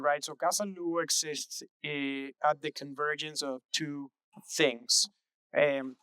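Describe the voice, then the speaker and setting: Earnest young Venezuelan-born founder, crisp voice. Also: male voice, mid-range voice, bright, forceful tone, no room sound. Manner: earnest, reflective, frequent "uh" fillers. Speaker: startup co-founder, interview guest. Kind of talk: relaxed interview answers.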